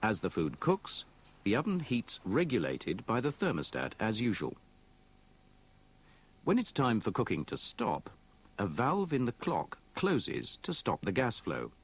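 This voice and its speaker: Man, husky low voice, lively intonation, methodical training film narration, old VHS tape copy. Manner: measured, explanatory, methodical. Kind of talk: scripted instructional narration.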